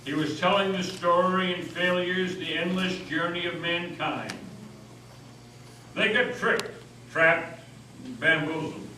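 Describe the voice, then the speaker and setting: A man's voice: sits high, slow, sharp tone. A man giving an impassioned, oratorical reading of a scripted dramatic story as narrator. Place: old meeting house, live performance. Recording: very echoey hall, distant mic, faint noise.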